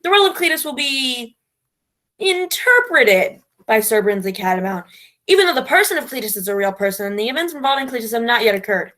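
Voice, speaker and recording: A grandiose, imperious female director acted by a woman in a theatrical comedy. The voice sits fairly high and cuts through brightly, swinging widely in pitch as she lords it over everyone as a self-declared genius. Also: lively intonation, fairly quick. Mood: grand announcing.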